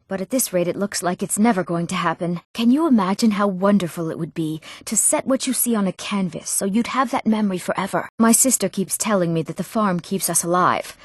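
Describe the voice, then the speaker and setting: A woman acting an eager, earnest young woman in a fantasy video game, speaking her lines clearly and a little theatrically. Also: fairly low pitch, no room sound, studio quality, lightly acted. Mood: bored, wistful. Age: young adult. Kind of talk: scripted fantasy game dialogue.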